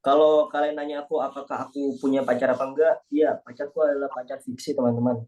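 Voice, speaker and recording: A man's voice: medium pitch, steady tone, fast, darkish tone, rough recording. A young man with an Indonesian accent chatting in a casual online class discussion, joking and self-deprecating. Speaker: class participant. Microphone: video call.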